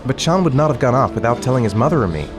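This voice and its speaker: A man's voice: mid-range voice, very animated, rapid-fire, over music, close to an everyday voice. An actor playing a distraught father of a missing boy, hesitant and stammering, in a dramatic video game. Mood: anxious, subdued. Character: distraught separated father of a missing son, halting.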